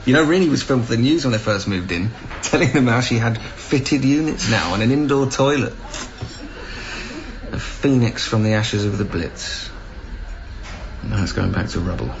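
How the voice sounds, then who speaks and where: An actor playing a patient, conscientious young council officer, gentle and hesitant, in a naturalistic radio drama. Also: male voice, mid-range voice, animated, moderate pace, gravelly, highs cut off. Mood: fond, reflective.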